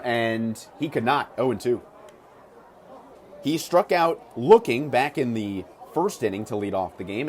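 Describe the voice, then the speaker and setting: High-voiced man calling baseball on radio. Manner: clipped, descriptive calls that swell on big plays. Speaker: radio baseball play-by-play announcer. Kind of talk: live sports commentary. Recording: clear ambient ballpark noise behind voice. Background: ballpark ambience.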